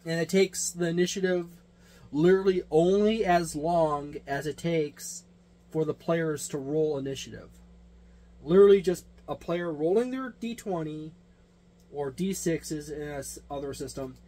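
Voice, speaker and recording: A man's voice: on the high side, a little slow, dark tone. A man giving a casual, unscripted hobby review and explanation, rambling and correcting himself with emphatic delivery. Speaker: tabletop gaming reviewer and game master. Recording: noticeable background noise with hum.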